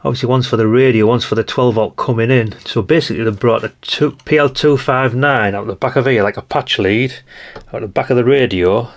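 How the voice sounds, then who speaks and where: A British man with a gravelly voice giving a casual, rambling hands-on commentary as he thinks aloud. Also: mid-range voice, brisk. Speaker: hobbyist radio channel host.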